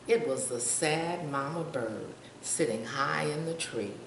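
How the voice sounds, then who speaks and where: An older woman reads a picture book to children in a slow, highly varied voice. She pauses to ask questions and point out pictures. The sound is echoey and a little far from the mic, with faint noise. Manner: asks young listeners questions, points out pictures. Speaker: storytime reader.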